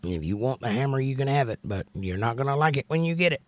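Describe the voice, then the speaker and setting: An American man hosting a casual livestream and reading out viewer comments, hoarse from laryngitis, folksy and joking with preachy asides. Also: mid-range voice, lively intonation, fast talker. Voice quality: hoarse, strained. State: laryngitis, losing his voice. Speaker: livestream host.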